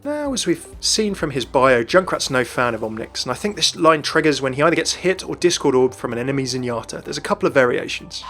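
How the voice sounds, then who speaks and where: Fast-talking man narrating game lore. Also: medium pitch, very animated, fairly bright tone, faint music behind. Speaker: video narrator. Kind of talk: scripted game lore commentary.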